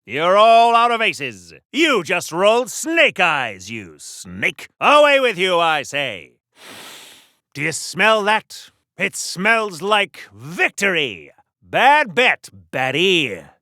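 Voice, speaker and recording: A man's voice: high voice, bright, forceful tone, dry recording, studio quality, heavily acted. A man playing a pompous, chipper 1940s patriotic superhero in a video game, declaiming old-fashioned wholesome patter like a radio serial hero. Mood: triumphant, taunting.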